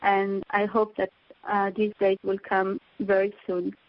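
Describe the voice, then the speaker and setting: A woman, a teacher and mother, giving an earnest prepared speech to donors and pausing on fillers. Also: mid-range voice, completely flat delivery, muffled recording.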